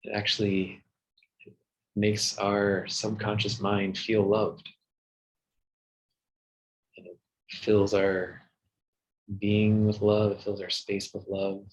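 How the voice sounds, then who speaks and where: Male meditation guide: low, very unhurried, gentle delivery with soothing pauses. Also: completely flat delivery, rough recording. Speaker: meditation teacher.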